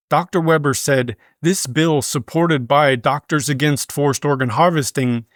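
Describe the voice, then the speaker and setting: Man, newsreader, slightly high pitch, formal measured script reading, dry recording free of echo. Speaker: news reader. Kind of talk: scripted news narration.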